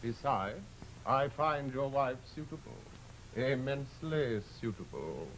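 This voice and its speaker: An actor playing the Devil as a proud, courtly gentleman, mannered and over-polite, with deliberate pauses, in old-time radio horror style. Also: medium pitch, lively intonation, a little hiss or hum, low-quality recording. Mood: smoothly menacing. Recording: vintage radio drama, music behind.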